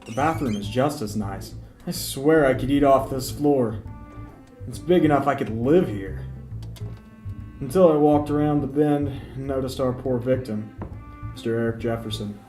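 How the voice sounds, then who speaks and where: A man's voice: mid-range voice, moderate pace, mellow, lightly acted. A man playing an eager, self-pitying small-town police detective who talks to himself in an amateur noir radio drama. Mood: businesslike, observing. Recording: roomy echo, music behind.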